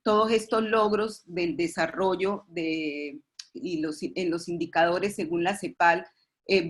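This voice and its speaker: A woman with a Colombian accent giving a formal webinar presentation as an expert, often halting and repeating words as she goes. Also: on the low side. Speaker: expert presenter at a webinar, representing a pediatric committee.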